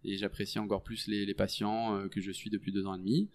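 A man in his thirties, a French doctor, explaining his work in a relaxed podcast chat full of hesitations. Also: on the low side, quick pace. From France. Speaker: guest doctor. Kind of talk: casual podcast interview.

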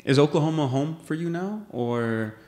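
A man's voice: on the high side, expressive, moderate pace, dark tone, roomy sound. A man hosting a podcast in casual interview chat, friendly and dropping in Spanish phrases. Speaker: podcast host. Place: office room used as a podcast studio. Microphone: studio mic on a stand, headphones.

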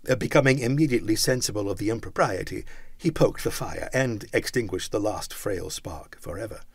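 Expressive male storybook narrator. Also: fairly low pitch, brisk, vocal fry, slightly rough, no room sound. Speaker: audiobook narrator. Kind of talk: classic story reading.

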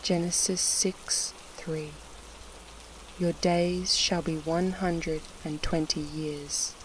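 Slow solemn scripture reader for sleep meditation, short phrases w pauses, soft rain going behind. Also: mid-range voice, slight background noise, low-quality recording. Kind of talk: slow devotional scripture reading and prayer. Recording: steady rain sound over the voice.

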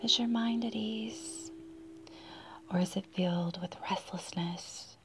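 A woman leading a guided meditation in a hushed, breathy, soothing delivery. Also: mid-range voice, fairly even intonation, slow pace, background noise. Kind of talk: slow guided meditation narration. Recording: loud meditation music under the voice. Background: flute-led meditation music.

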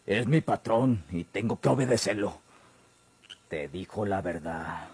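A low, very raspy ranch henchman from a Mexican radionovela. He is gruff and obedient yet wavering, with rustic Mexican speech. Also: expressive, a little slow, darkish tone, no echo, full character voice. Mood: gruff, threatening. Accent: rural Mexican. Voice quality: husky, gravelly.

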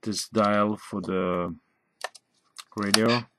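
Male reviewer, lowish pitch, plain-spoken and halting, casual demo delivery, noisy desk recording. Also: steady tone. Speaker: reviewer and channel host. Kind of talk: casual hands-on gadget demonstration. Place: at a cluttered desk indoors. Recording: clearly noisy, clicks and typing sounds.

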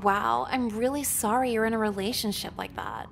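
Casting: a sly, nonchalant teen schoolgirl for a visual novel, higher register, provocative and manipulative. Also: normal speed, vocal fry. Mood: sly, casual.

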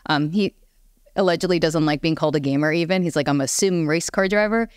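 Female podcast panelist, notably low clear voice, dry recording, earnest and informal delivery. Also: fast, no echo. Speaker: podcast panelist discussing films and games. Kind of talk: casual panel chat.

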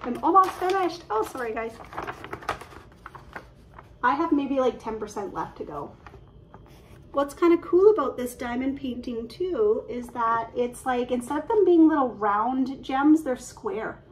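A woman, chatting excitedly and quickly about her craft projects from her living room, filled with "um"s and little laughs. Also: high-pitched, slight background noise, low-quality recording. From Canada. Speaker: cross-stitch vlogger. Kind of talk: casual show-and-tell chat. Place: living room at home, indoors. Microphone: webcam at desk distance.

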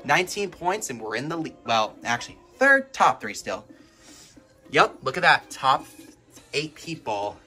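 Bright-voiced, excitable man commentating a game. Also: medium pitch, a little slow, soft background music. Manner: excitable, reacts aloud to play. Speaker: gaming video host. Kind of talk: casual gameplay commentary. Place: indoors at home, filming a TV.

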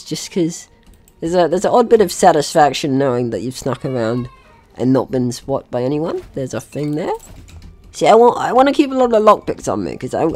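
A man's voice: fairly high pitch, darkish tone. A man giving casual gameplay commentary, chatting through his own actions in short remarks. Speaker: gaming video host.

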